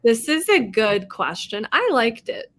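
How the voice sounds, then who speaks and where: A woman hosting a book livestream, chatting casually with friends in an animated, giggly way. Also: fairly high pitch, unhurried. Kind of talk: casual group chat.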